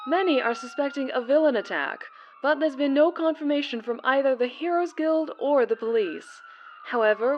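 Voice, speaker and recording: Woman playing a high-pitched on-scene TV reporter. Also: slight background noise. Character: earnest female TV news reporter at disaster scene. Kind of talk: news report acting. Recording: muffled TV-style sound with sirens. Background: emergency sirens.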